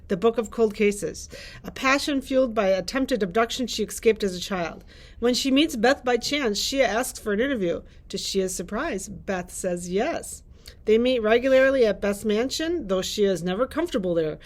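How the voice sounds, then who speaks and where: A woman with a fairly high voice shares book recommendations as a librarian host. She reads prepared blurbs aloud. Now and then she slips into light, informal chat.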